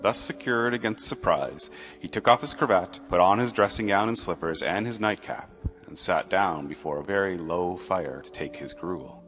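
A man narrating a classic story in a theatrical, measured and emphatic storytelling style. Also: low-pitched, lively intonation, fairly bright tone. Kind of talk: dramatic narrated reading of a classic Christmas tale. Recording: long reverb, music underneath.

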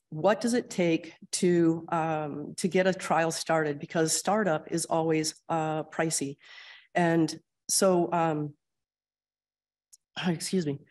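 A woman with an Upper Midwest American accent, getting over a cold and losing her voice, giving a conversational grand rounds lecture and often clearing her throat. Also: low-pitched, darkish tone. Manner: explanatory, conversational, frequent ums and throat clearing. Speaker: clinical research administrator presenting at grand rounds. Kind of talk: conference lecture with slides.

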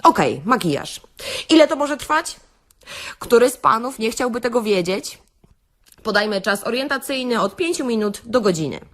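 A woman's voice: on the high side, sing-song, normal speed, a bit bright. A woman playing a brash, sarcastic beautician in a satirical radio-play monologue, theatrical and full of rhetorical questions to her listeners. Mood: mock-lecturing. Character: brash, sarcastic beautician delivering a comic self-help rant. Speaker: actress reading a comic monologue.

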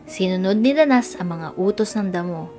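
A woman with a Filipino accent narrating a scripted children's storybook in an expressive read-aloud style. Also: medium pitch, moderate pace, faint music behind.

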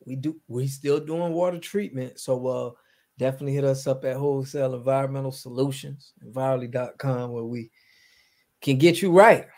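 Casual man co-hosting, medium pitch. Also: steady tone, brisk, a little mellow. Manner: conversational, checks for agreement with "right" and "you get what I mean". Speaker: co-host of a news commentary show. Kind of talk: casual unscripted chat. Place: home room on a video call. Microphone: headset.

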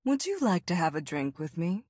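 Casting: video game lightning sorceress, proud and self-assured, lowish husky voice, very unhurried. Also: female voice, big pitch swings, darkish tone, no echo, studio quality. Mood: coy. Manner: measured, self-assured, aphoristic. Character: proud, confident lightning sorceress, grown woman. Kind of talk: video game character voice lines.

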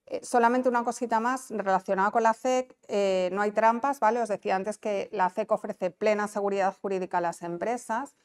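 Female presenter, non-Canarian Spanish accent, smooth clear voice, explanatory and conversational, informal business talk on a lapel mic. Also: mid-range voice, steady tone, a little fast, hardly any pauses. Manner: explanatory, conversational, checks understanding with tag questions. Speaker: conference presenter, business incentive adviser. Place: small indoor conference room.

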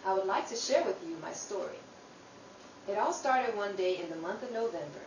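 Woman as earnest youngest sister, radio drama, measured storytelling, medium pitch, distant echoey noisy recording. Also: expressive, natural voice. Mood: earnest, reflective. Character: earnest, grateful youngest sister telling her Depression-era story. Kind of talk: old time radio drama acting. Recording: distant, echoey room with clear background noise.

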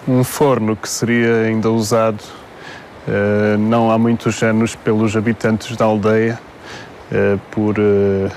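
A man, explaining the history of an old monastery outdoors, measured and full of hesitations. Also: on the low side, darkish tone. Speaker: local guide. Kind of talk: explaining local history on camera. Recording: faint outdoor noise.

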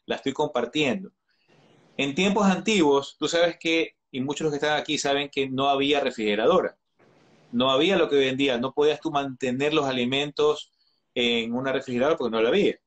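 Earnest bearded man on a video call. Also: a little high. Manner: earnest, advising, frequent restarts and hesitations. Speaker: interview guest. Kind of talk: earnest live-stream conversation. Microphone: video call webcam.

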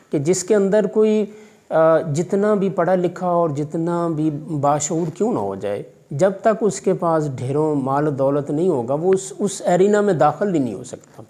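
उर्दू लहजे वाला अधेड़ आदमी, नरम धीमी आवाज़. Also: male voice, a little high, warm tone. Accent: Pakistani Urdu. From Pakistan. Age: 50s. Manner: measured, fatherly, religious phrasing, hesitant fillers. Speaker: college vice principal. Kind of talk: interview answers. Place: office in a college. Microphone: far camera.

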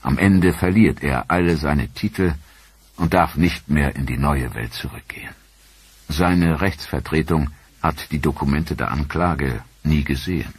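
A man giving measured, earnest scripted narration for a history documentary. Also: very deep voice, breathy edge, no room sound. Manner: measured, earnest storytelling delivery.

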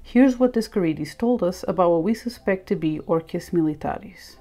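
A woman's voice: on the low side, animated, normal speed, dark tone. A woman presenting scripted educational narration. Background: music.

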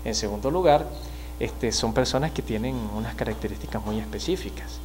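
A man's voice: medium pitch, darkish tone, a little hiss or hum. Venezuelan man explaining at a studio desk. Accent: Venezuelan Spanish. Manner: measured, explanatory, frequent hesitations. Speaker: criminologist guest. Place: small TV studio. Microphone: mic on desk stand.

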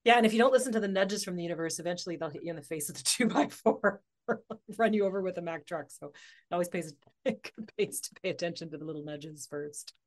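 A woman in her forties chats as a podcast guest, thinking out loud and laughing often. Her voice sits a little low and swings widely between hushed asides and bright bursts. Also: fast talker. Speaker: podcast guest, author and coach. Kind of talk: casual interview chat.

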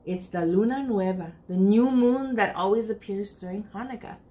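A woman reading as a kindly, hushed storybook aunt. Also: medium pitch, normal speed, close to an everyday voice. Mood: hushed, gentle. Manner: soft, often whispering.

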